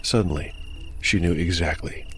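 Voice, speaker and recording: A man with a deep voice tells a dark fantasy tale as host and narrator of a tabletop audiodrama. His delivery is scripted, measured and deliberate. Soft music plays behind him.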